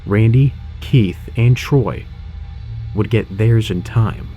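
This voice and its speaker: A man's voice: fairly low pitch, takes it slowly, mellow, lo-fi sound, faint music behind. A man narrating a scripted horror audio drama in a dramatic storytelling style.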